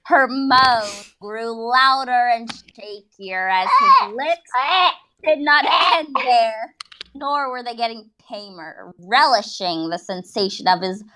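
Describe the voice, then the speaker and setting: A woman's voice: fairly high pitch, takes it slowly, bright, forceful tone, poor audio. A woman giving a comic dramatic reading of fan fiction, theatrical and jokey, often breaking off to comment.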